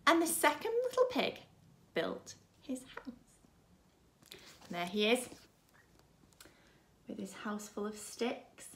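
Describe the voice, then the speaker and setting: A woman's voice: on the high side, wildly swooping pitch, slow pace, long pauses, a bit bright, a little hiss or hum. A woman in her thirties, a primary school teacher, reading expressively to young children. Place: small furnished room at home, indoors.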